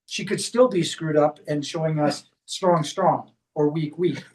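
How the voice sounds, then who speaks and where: A high-pitched man talks a small group through a hands-on demonstration at a clinic workshop, explaining each step as he goes. His voice is picked up from some distance, with the room around it. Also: measured pace. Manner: explains step by step while guiding a volunteer. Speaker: chiropractor leading a workshop.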